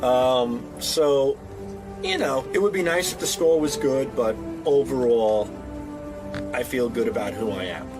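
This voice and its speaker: A man's voice: mid-range voice, measured pace, music in the background. A man giving casual interview answers in a joking, self-assured manner. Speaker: radio show staff member.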